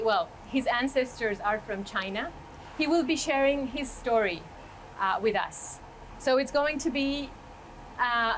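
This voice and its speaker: A woman from Colombia, hosting an online cooking show and introducing it in a welcoming, slightly halting way. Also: fairly high pitch, slight background noise, low-quality recording. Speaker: host.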